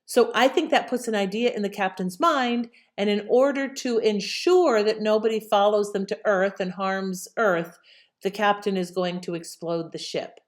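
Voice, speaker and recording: Conversational woman host, medium pitch. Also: moderate pace. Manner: conversational, inquisitive. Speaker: channel host. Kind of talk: casual commentary to viewers.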